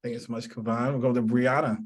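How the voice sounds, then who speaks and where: A man moderates a student panel in an appreciative, affirming way. His voice sits at a middle pitch with fairly even intonation. He speaks unscripted, handing questions from one panelist to the next. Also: fast talker.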